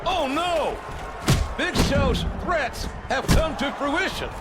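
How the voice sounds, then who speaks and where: A man voicing an excitable wrestling play-by-play announcer in a game. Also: very high-pitched, takes it slowly, exaggerated voice. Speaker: wrestling commentator. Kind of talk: game commentary. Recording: game audio under loud music.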